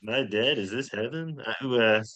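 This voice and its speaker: A man playing excitable, goofy action-comedy characters in a script table read. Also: medium pitch, a little slow. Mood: dazed, excited.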